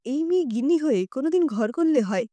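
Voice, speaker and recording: A woman's voice: sits high, expressive, a little fast, mellow, no room sound. A woman voicing an anxious, old-fashioned grandmother, fretful and musing, in an audio story.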